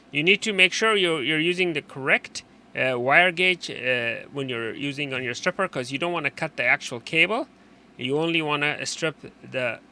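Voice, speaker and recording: A man presenting an unscripted technical how-to, explaining in a halting way and often repeating and rephrasing his instructions. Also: mid-range voice, bright, forceful tone, a little hiss or hum. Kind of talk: unscripted hands-on tutorial explanation.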